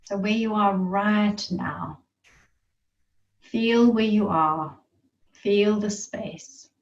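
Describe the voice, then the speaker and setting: A woman leading a guided meditation in a soothing, reverent manner, leaving long pauses between phrases. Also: mid-range voice, a little hiss or hum. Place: online group gathering. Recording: muffled, roomy, distant online-call sound.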